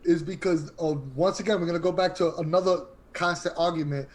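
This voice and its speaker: A man's voice: fairly high pitch, fairly even intonation, a little fast. A man telling personal stories in an informal, emphatic way in a casual group chat. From Queens, New York City. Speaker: podcast panelist.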